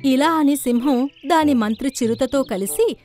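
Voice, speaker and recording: A woman's voice: high voice, normal speed, a little mellow, faint music behind. A woman reading scripted narration for a children's story.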